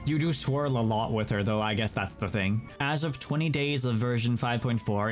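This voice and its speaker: Chatty man doing casual gameplay commentary. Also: mid-range voice. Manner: chatty, self-joking. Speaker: gaming video host. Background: game music.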